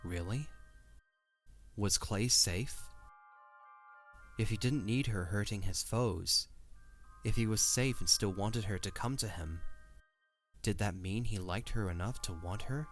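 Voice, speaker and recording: Low-voiced man narrating a story. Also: measured pace, long pauses. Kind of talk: scripted story narration for audio drama. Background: faint music.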